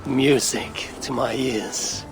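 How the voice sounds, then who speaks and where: An actor playing a commanding, calculating Norse god in a video game, stern and measured in manner. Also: male voice, medium pitch, fairly even intonation, music in the background, lightly acted, low-quality recording. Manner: imperious, measured, portentous. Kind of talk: video game cutscene acting.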